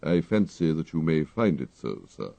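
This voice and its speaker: Guy doing a proper english butler type, very formal and unflappable, gravelly, storybook, really dry recording. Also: very deep voice, sing-song, mellow, dry recording, exaggerated voice. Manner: formal, ornate, measured. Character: dignified English gentleman's valet, deferential, unflappable, dryly clever.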